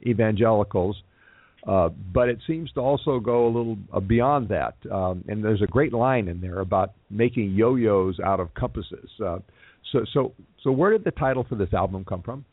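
A man hosting a casual radio interview, talking in a halting, friendly way with frequent fillers and restarts. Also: fairly low pitch, no echo. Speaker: radio show host. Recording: tinny phone-like line.

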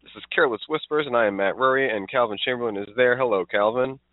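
A man's voice: medium pitch, quick pace, no echo. A stuffed-up man co-hosting a casual sports podcast, rambling with restarts. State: stuffed up, congested. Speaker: podcast co-host. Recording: tinny phone-like dry sound.

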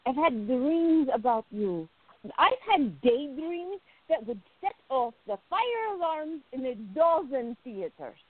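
High-voiced, unhurried young woman, acted by a woman. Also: very animated, dry recording, narrow, tinny audio, exaggerated voice. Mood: teasing, ardent. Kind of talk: radio play acting.

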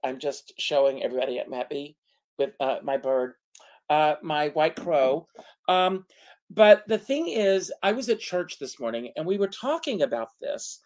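A man in his sixties in a casual talk show chat, speaking haltingly with frequent hesitations. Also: on the high side, lively intonation. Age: 60s. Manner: halting, lots of "uh" fillers.

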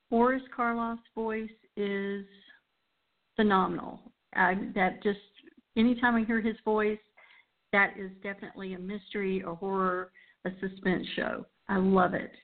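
A woman hosting and introducing shows in a casual, chatty style. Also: medium pitch. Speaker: host. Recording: muffled, phone-like narrow sound.

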